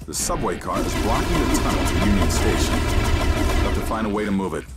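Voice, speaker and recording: A commanding man guiding a soldier through an alien invasion in a video game. His delivery is businesslike and technical, with a lively, wide-moving pitch. Music plays behind him. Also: a little fast. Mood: alert, directing. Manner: clipped, instructive, technical, businesslike. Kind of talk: scripted video game voice acting.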